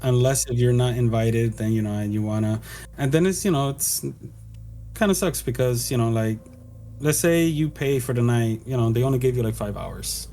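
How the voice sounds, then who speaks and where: A man co-hosting a podcast, talking in a halting, casual way with lots of "you know" and "like.". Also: mid-range voice, warm tone. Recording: faint background noise.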